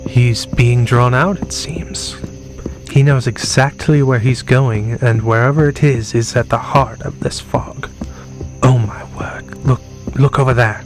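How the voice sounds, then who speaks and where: An actor playing an enigmatic, cool-headed professor in a horror audio drama, measured and analytical. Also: male voice, medium pitch, steady tone, lightly acted. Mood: watchful, grave. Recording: faint music behind.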